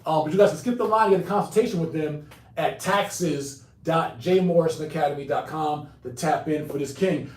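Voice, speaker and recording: A man hosting an online session, talking in an energetic, slangy way with frequent "right?" tags. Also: sits high, echoey room, distant mic, a little hiss or hum. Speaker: host. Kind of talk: casual webinar talk.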